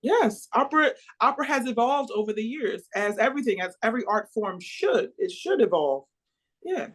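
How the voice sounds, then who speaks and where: An American woman opera singer being interviewed, talking about her work in an earnest, warm and emphatic way. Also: on the high side, sing-song, normal speed. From United States.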